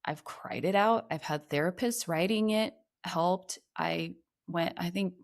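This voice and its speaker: A woman from Ukraine, in her thirties, telling her personal story as a podcast guest in a reflective, earnest way that slows on emotional points. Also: lowish voice, gravelly.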